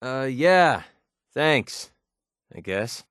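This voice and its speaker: Cocky, sardonic young mercenary. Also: male voice, mid-range voice, very animated, extremely slow, fairly bright tone, clean tone, no room sound, clean studio sound, exaggerated voice. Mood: sarcastic. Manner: sardonic, blunt, wisecracking. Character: young male wasteland mercenary, cynical, cocky, sarcastic companion. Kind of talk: video game companion dialogue.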